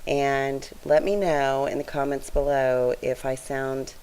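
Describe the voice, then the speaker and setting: A woman with a weak, raspy, low voice, chatting casually in a voice-over as she narrates her scrapbooking work. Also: flat delivery, slow, poor audio. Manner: soft-spoken, chatty, straining to be heard. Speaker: scrapbooking channel host.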